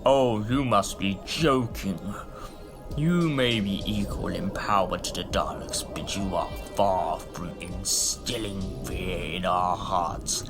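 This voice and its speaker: An actor playing a stately, defiant Lord President in a sci-fi audio drama, speaking in a grave, formal, measured way. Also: male voice, sits low, music in the background. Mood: haughty defiance.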